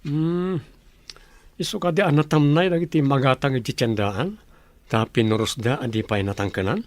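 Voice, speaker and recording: A man's voice: medium pitch, moderate pace, dry recording, natural voice. An actor playing a middle-aged village father in a radio drama, coaxing and reasoning, with an Ilocano accent. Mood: pleased, chatty. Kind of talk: Filipino radio drama acting.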